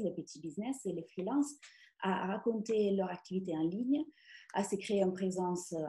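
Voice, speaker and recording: A woman's voice: lowish voice, dark tone, roomy sound. A woman with an Italian accent co-presenting a live webinar, speaking with light hesitations and frequent laughs.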